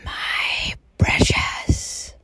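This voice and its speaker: A man voicing a fawning, obsessive creature in a breathy, whispery rasp. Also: pushed and bright, no room sound, full character voice. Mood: fawning, possessive. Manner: slow, drawn-out, creepy.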